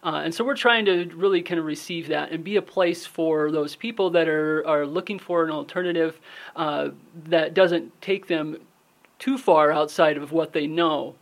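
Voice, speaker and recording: A man's voice: on the high side, moderate pace. A man who is a guest on a radio interview, explaining his wellness business earnestly and steadily, with frequent fillers.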